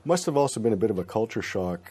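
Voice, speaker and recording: A man's voice: fairly low pitch, very animated, fast, dark tone, breathy edge. A man hosting a casual interview, joking and loose, often trailing off and restarting his questions.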